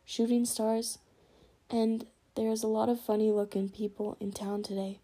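Woman reading a jittery middle-aged husband, hesitant and mumbling, breathy. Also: fairly high pitch, little pitch movement, measured pace, warm tone. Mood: nervous. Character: nervous fussy middle-aged man.

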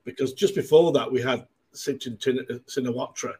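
A man's voice: medium pitch, normal speed, a little mellow, slightly rough. A man in his sixties from Manchester with a Mancunian accent, a podcast guest chatting casually and rambling, with many fillers and restarts. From Manchester, England.

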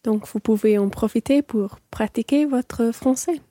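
A woman playing a polite, impressed dinner companion in a language-course radio play, phrasing her lines clearly. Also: on the high side, moderate pace, dark tone, no echo, clean studio sound, natural voice.

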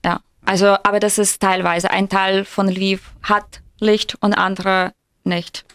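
Woman in her twenties, podcast host, measured and explanatory, dry close studio sound. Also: mid-range voice, level tone, fairly bright tone, smooth, no room sound. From Ukraine. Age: 20s. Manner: measured and explanatory, many hesitation fillers. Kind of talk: casual podcast chat.

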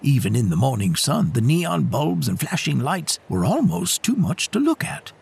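Animated male storyteller with creaky edge. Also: medium pitch. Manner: expressive, dramatic storytelling. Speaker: storyteller, narrator. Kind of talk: scripted children's story narration.

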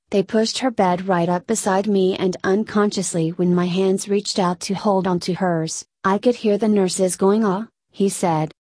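A woman narrator reading a scripted feature article aloud in a measured way. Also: mid-range voice, a little mellow, no room sound.

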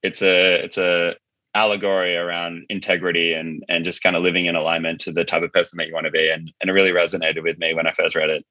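A man with a deep but bright, forward voice talks casually as an interview guest. He explains his thoughts informally, working them out as he goes. The sound is close and dry, a little muffled and lo-fi. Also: little pitch movement, quick pace, no room sound. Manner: informal, explanatory, thinking aloud. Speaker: podcast guest, marketing agency founder.

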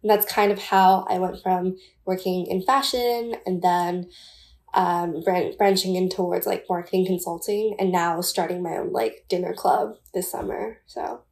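A woman's voice: fairly low pitch, fairly even intonation, a little slow, vocal fry, a little mellow. A woman in her twenties from Taiwan, a podcast guest chatting casually with many "like" and "um" fillers. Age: 20s.

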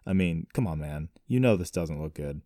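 A man playing a calm young man in an audiobook story, placating but firm as he reasons. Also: very deep voice, moderate pace, dry recording, clean studio sound. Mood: firm, reasoning.

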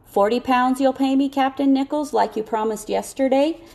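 Audiobook role: woman as a shifty, deferential farmer, a grown man, hushed and pleading, with creaky voice. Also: high-pitched, moderate pace, pitched above the performer's own voice. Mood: furtive, insistent. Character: furtive, money-minded farmer, a grown man.

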